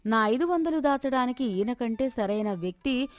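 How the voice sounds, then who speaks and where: A woman's voice: a little high, expressive, moderate pace, faint music behind, natural voice. A woman voicing a gullible male villager thinking aloud in earnest admiration, in a moral story. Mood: admiring.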